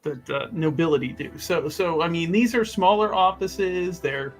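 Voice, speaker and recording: A man's voice: on the high side, moderate pace, slight background noise. A man playing a tabletop game who rambles, agrees readily and laughs often. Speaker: player. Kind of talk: casual tabletop chat.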